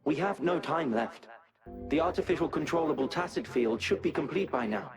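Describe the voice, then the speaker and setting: An actor playing an obsessed, embittered research scientist in a video game, earnest and intense. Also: male voice, on the high side, expressive, normal speed. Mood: troubled. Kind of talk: dramatic video game voice acting. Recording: loud music behind the voice.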